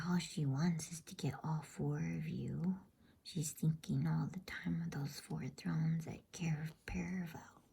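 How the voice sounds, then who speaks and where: A woman voicing a shrewd, practical female beaver from a bedtime storybook in a breathy whisper. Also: low-pitched, mellow, exaggerated voice. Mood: warning.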